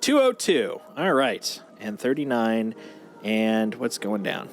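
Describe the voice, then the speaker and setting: A man's voice: fairly low pitch, sing-song, slow, noisy background. A man chatting casually and playfully with friends as he streams a game live. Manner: playful, banters and mock-pleads. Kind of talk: casual gameplay chat with friends.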